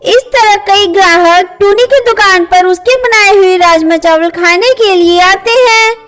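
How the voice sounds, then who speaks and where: A woman's voice: very high-pitched, a little slow. A woman reading scripted narration for a children's cartoon story.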